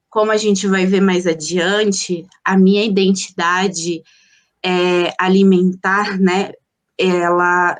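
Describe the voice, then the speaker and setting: A woman from the Amazon, giving an informal, personal lecture and reflectively pausing to find her words. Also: medium pitch. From Colombia, grew up in Manaus, Brazilian Amazon. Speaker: guest lecturer, anthropologist.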